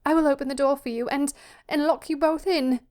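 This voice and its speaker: Woman voicing a timid, high-pitched young daughter, anxious. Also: fairly even intonation, a little mellow, natural voice. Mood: urgent, desperate. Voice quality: breathy, gasping. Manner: hurried, anxious. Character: frightened, pleading young daughter, pink-cheeked and timid.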